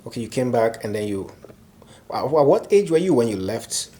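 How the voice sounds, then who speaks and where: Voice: mid-range voice, very animated, fairly quick, warm tone, a little hiss or hum. An interviewer asking curious, prompting questions and reacting briefly to the answers. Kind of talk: conversational interview.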